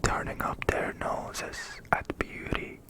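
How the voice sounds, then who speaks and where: A person whispering a bedtime story in ASMR style, with a breathy, unvoiced voice and a deliberate manner that softly repeats phrases.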